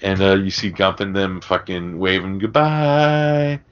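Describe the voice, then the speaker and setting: A man's voice: low voice, fairly even intonation, a little slow, clear, resonant tone. A man co-hosting a podcast, discussing film casually in a rambling, sweary, conversational way. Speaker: podcast co-host. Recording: dull, lo-fi podcast audio.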